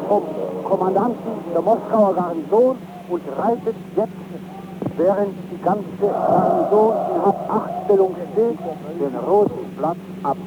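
A man's high voice comes through a dull, heavily noisy archive radio recording. He declaims in slow, emphatic phrases, like a reporter or speaker of the 1930s.

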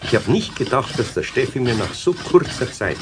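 A man's voice: mid-range voice, big pitch swings, normal speed, lightly acted. A man playing a kindly, patient, good-natured craftsman with a Bavarian accent in a children's radio play, speaking in a colloquial, placating way. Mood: pleased, friendly. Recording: noisy old radio recording with clicks.

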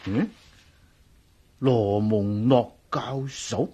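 An actor playing a fretful, grumbling old household servant in a Cantonese radio drama, with a husky voice, fussy stammering delivery and a Hong Kong accent. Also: male voice, medium pitch, drawn-out words, mellow, muffled recording, slight background noise. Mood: curious, excited.

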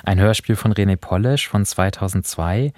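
Male radio host, fairly low voice, conversational presenting, close dry sound. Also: moderate pace, a little mellow, dry recording. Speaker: radio podcast host introducing radio plays.

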